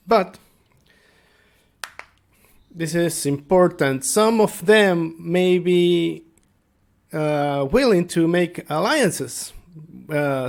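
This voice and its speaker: A man speaking as a tabletop game master, explaining things casually and without a script, halting as he thinks aloud. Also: fairly high pitch, vocal fry. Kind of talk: casual unscripted explanation to viewers.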